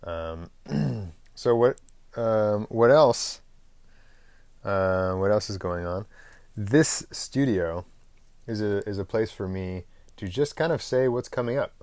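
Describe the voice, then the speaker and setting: A man with a fairly low voice hosts his own podcast in a loose, unscripted monologue. He rambles from thought to thought, pauses on fillers and clears his throat now and then. The close microphone leaves the sound dry, with no room around it. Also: lively intonation, darkish tone, no room sound. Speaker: podcast host.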